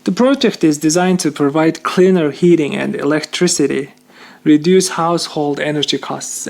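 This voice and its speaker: A man's voice: a little high, vocal fry. A man presenting a read news bulletin over a shortwave radio broadcast, reading carefully with some slips in phrasing.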